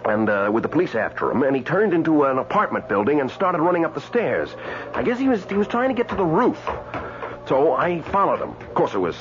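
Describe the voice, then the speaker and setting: A man's voice: fairly high pitch, very animated, a little fast. An actor in a radio drama playing a giddy, eager ghost who rambles breathlessly through his stories. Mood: excited, eager. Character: excitable dead man, giddy eager ghost doing good deeds. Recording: noisy, dull old radio broadcast recording.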